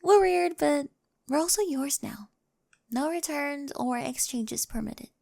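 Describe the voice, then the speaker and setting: A woman's voice: sits high, expressive, unhurried, creaky, darkish tone, dry recording, voice pushed up, heavily acted. A woman playing an awkward, nerdy, affectionate young girlfriend in an ASMR roleplay, hesitant but precise, often dropping toward a whisper, close to the microphone. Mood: playful, affectionate. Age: young adult.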